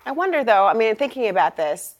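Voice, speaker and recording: A woman's voice: a little high, very animated, brisk. A woman with an American accent hosting a television news panel in a conversational style, posing questions and musing aloud. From Minnesota, United States.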